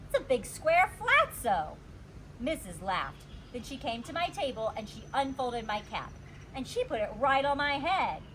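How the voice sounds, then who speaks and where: A woman reading a children's storybook aloud as a teacher, with expressive, deliberate storytelling. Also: fairly high pitch, a little hiss or hum, low-quality recording.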